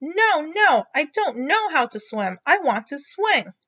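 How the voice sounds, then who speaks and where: Woman putting on a high, frightened little boy frog. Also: big pitch swings, unhurried, a bit bright, dull audio, exaggerated voice. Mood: whiny refusal. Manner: exclaiming, protesting.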